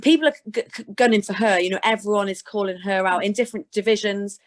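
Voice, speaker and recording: A woman's voice: mid-range voice, fast talker. Chatty British woman in casual interview. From Scotland and Gloucestershire, England. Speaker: interview guest, sports presenter.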